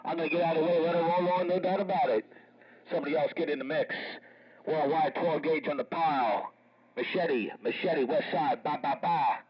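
High-pitched man on muffled CB radio. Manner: repetitive catchphrases and drawn-out call signs. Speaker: CB radio operator. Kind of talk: CB radio chatter. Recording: muffled CB radio transmission with crowded chatter.

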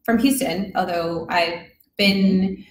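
A woman's voice: lowish voice, fairly even intonation, takes it slowly. A young woman from Mexico, a podcast guest, telling her story in an earnest, reflective way at a desk mic in an office room. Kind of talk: casual interview chat. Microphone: desk microphone. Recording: echoey room, faint noise.

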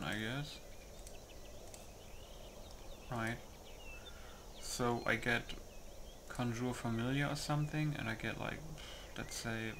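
A man's voice: medium pitch, sharp tone, a little hiss or hum. A man giving casual gameplay commentary, thinking aloud and muttering hesitantly to himself. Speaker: gamer hosting a playthrough. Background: game music.

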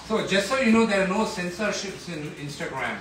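A man's voice: high-pitched, fast talker, background noise. A man bantering and teasing playfully while cooking in a large home kitchen, filmed by a phone across the room. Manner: teasing, animated, laughs often. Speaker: home cook filming a cooking video. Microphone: phone camera across the room. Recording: echoey kitchen, mic far off.

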